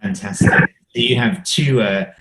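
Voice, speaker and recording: A man's voice: medium pitch, sing-song, unhurried, gravelly, fairly bright tone. A man moderating a virtual panel, giving brief encouraging prompts to the speakers.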